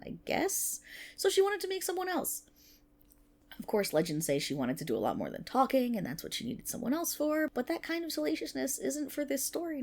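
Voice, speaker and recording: A woman's voice: a little high, darkish tone. A woman playing a nerdy, playful best friend in an ASMR roleplay, rambling casually to the listener with wry asides and self-interruptions. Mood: enthusiastic storytelling.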